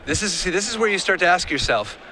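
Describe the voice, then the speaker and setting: A man's voice: high-pitched, lively intonation, fast, pushed and bright. A man doing live game commentary, casual and joking, chatting with his co-host between plays. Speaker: color commentator. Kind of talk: live tournament commentary with banter.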